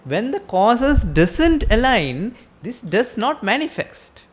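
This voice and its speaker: A woman's voice: fairly high pitch, very animated, takes it slowly, slightly rough. A woman with a Sri Lankan accent giving a Buddhist sermon, explaining step by step and asking her listeners rhetorical questions. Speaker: Buddhist teacher.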